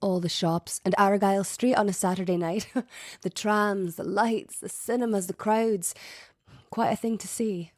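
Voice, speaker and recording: An actress playing a wistful, timid young Scottish farm wife, polite and hesitant, in an audio play. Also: female voice, mid-range voice, fairly even intonation, a little slow, no room sound, clean studio sound, lightly acted.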